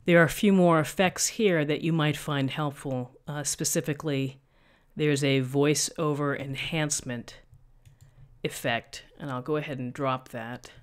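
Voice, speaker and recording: Middle-aged woman, thin voice, calm step-by-step explaining, desk mic, tutorial host. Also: low voice. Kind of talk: screen-recorded software tutorial. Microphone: USB desk microphone.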